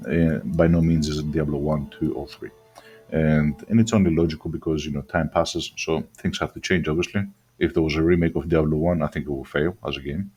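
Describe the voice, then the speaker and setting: A man's voice: very deep voice, darkish tone, husky, lo-fi sound. A man who grew up in Australia, with a mixed accent that is partly Greek-sounding, talks casually and hesitantly about a video game and often restarts his sentences. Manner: rambling, hesitant, many ums and restarts. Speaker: gaming channel host. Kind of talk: casual game review talk.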